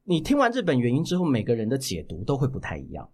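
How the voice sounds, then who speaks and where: A man with a Taiwanese Mandarin accent talks as a podcast guest. His mid-pitched voice swings up and down in lively fashion. He explains his points in a casual way, often repeating and rewording a phrase to stress it. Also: quick pace. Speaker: guest, voice actor.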